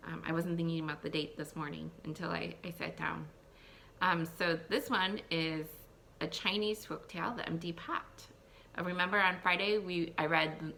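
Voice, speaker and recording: A woman teacher reading a picture book aloud to young children, expressive, thinking aloud and putting questions to her listeners. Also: low-pitched, gravelly, slight background noise. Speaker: elementary school teacher.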